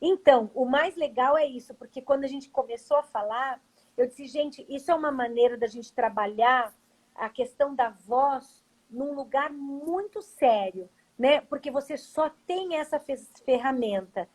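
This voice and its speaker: A woman's voice: high voice, normal speed, husky, poor audio. A Brazilian woman, a theatre director, talking casually as an interview guest on a video call. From Brazil, Curitiba.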